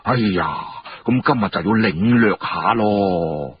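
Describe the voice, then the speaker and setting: A man voicing a dignified old chancellor in his seventies in a Cantonese story, measured and savouring his words. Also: lowish voice, expressive, muffled recording, slight background noise, voice pushed down. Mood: intrigued.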